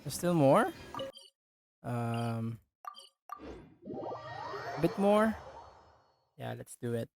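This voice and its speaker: Very low-voiced woman commenting on gameplay. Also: animated, extremely slow, vocal fry, long pauses, music in the background. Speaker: gaming streamer reacting to game play. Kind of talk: casual, sparse live commentary over a game.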